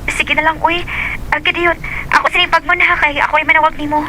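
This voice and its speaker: A woman's voice: high-pitched, little pitch movement, fast talker, bright, forceful tone, slight background noise, rough recording. An actress playing a young female student who becomes a man's girlfriend over video calls, in a radio drama. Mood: coy. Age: young adult.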